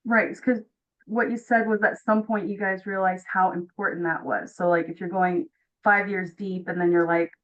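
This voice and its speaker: A woman's voice: medium pitch, level tone, moderate pace, fairly bright tone. A woman from Tonga asking a question on an online call in an enthusiastic, casual, informal way. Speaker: audience member asking a question. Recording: muffled online call audio.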